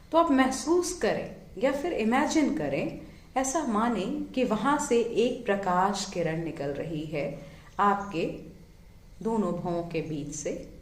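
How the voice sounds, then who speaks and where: A woman leading a guided meditation, giving soothing, measured instructions with drawn-out pauses between phrases. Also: mid-range voice, animated, reverberant.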